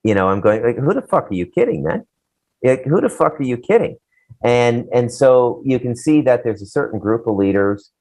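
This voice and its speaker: A man's voice: medium pitch, very animated, moderate pace, darkish tone, low-quality recording. A man co-hosting a casual talk show, giving opinions in a rambling, blunt, profane way. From Canada.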